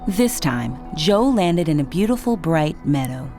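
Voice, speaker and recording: A woman reading scripted narration for a children's read-along storybook in an expressive, dramatic way. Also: sits low, a little slow. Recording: music behind the narration.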